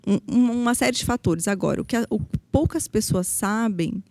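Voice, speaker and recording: A woman's voice: medium pitch, brisk, creaky, darkish tone, no room sound. A woman from Brazil's Paraná region, a public defender, explaining her work earnestly in a podcast interview, with frequent hesitations. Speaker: federal public defender, guest.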